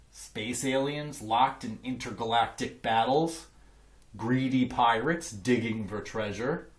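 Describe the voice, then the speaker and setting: A man reading a children's picture book aloud in an expressive, emphatic way, like a teacher. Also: medium pitch. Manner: emphatic, acts out dialogue. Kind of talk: expressive children's storybook narration.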